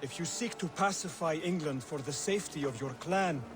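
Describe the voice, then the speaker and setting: A man playing an earnest, devout young assassin scholar in a video game, speaking in a measured, formal, instructive way. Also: on the high side, over music, lightly acted. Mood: grave, persuasive. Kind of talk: video game cutscene acting.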